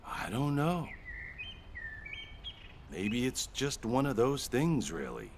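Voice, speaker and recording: An actor playing a baffled investigator at a roadside crime scene in naturalistic audio drama dialogue. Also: male voice, mid-range voice, huge pitch swings, slow pace, a little hiss or hum, full character voice. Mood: grim, baffled.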